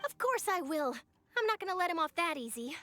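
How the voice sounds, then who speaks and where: Perky young news hound: very high, bouncy intonation, persistent, game music behind. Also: female voice, big pitch swings, fairly quick, pushed and bright, full character voice. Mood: brisk. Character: eager young female reporter, perky and persistent. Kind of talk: anime game voice acting.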